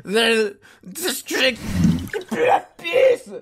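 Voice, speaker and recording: Man acting a whiny, slurring drunk henchman, swooping pitch. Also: huge pitch swings, takes it slowly, a bit bright, breathy edge, exaggerated voice. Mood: drunk, fed up. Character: drunken, weary, self-pitying henchman slurring his complaints. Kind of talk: comedic audio drama acting.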